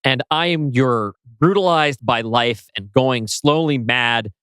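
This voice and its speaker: A man's voice: mid-range voice, slow pace, fairly bright tone, smooth, dry recording. A man co-hosting a podcast, talking casually with wry banter. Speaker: podcast co-host and scriptwriter.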